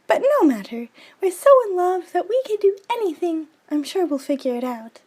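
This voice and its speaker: High squeaky young fish girl all dreamy and giddy, swoops up and down. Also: big pitch swings, normal speed, warm tone. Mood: breezy. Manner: gushing, breathless and very high. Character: lovestruck young female fish, dreamy and excitable. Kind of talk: storybook character voice.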